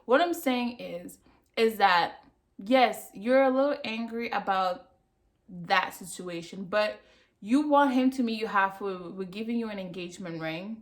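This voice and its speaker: A woman's voice: mid-range voice, a little slow. A woman talks straight to her viewers in a casual review, pressing each point and repeating it for weight. Her voice sits in a middle range for a woman. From Cameroon. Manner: emphatic, repeats herself for effect. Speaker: vlogger.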